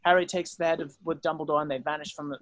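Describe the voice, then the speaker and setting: A man with a fairly high voice reads out stage directions as the narrator of a script read-through. The sound is dry, with very little room in it. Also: flat delivery, very fast, fairly bright tone, no room sound.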